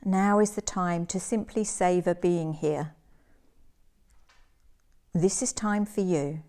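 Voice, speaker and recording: A woman leading a guided relaxation meditation as a yoga teacher, soothing and gentle, in measured phrases with pauses. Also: on the low side.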